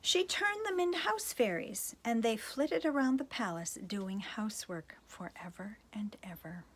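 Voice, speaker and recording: A woman's voice: a little high, lively intonation, unhurried, a bit bright, a little hiss or hum. A woman reading a children's picture book aloud, playful and chuckling, with asides to her listeners. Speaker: storytime reader, teacher.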